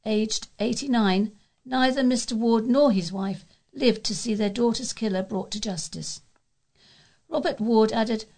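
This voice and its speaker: A woman's voice: a little high, a little mellow. A woman reading scripted local news as a volunteer newsreader.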